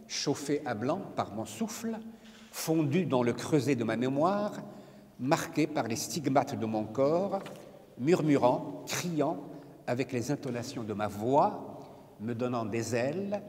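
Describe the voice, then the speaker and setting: An older man from Morocco, not a native French speaker, reading a prepared lecture as a poet in a measured, solemn way. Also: medium pitch, big pitch swings, a little mellow. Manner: measured, solemn, deliberate phrasing. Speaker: poet giving a talk. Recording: reverberant hall, from a distance.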